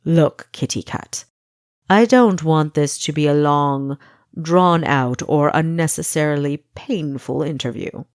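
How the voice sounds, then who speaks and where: A smug male interrogator from an audiobook tale, acted out by a woman, taunts and cajoles his captive. The delivery is coaxing and menacing, in a lowish register with a dry, close sound. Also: dry recording, exaggerated voice.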